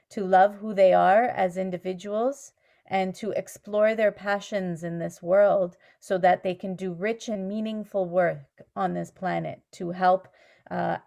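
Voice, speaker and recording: A woman's voice: medium pitch, creaky, a little mellow. A woman, a teacher from Canada, patiently answering students' questions step by step on a video call. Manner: patient, explains things step by step.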